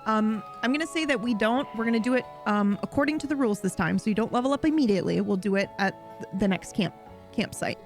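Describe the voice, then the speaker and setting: A woman with a slightly high voice runs a tabletop game, chatty and thinking aloud as she goes. Her voice has gone a little hoarse from long talking, and soft music plays faintly underneath. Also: fast. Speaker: game master.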